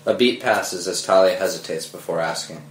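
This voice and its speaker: A man's voice: deep voice, normal speed, a little hiss or hum. A man narrating scripted screenplay directions at a table read. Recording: roomy echo under dramatic score music.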